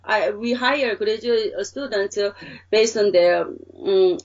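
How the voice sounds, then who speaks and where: A woman's voice: medium pitch, slow, distant mic, highs cut off. A woman from Korea with a Korean accent, answering interview questions in a hesitant way with many fillers and restarts. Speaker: interviewee, researcher who hires graduate students.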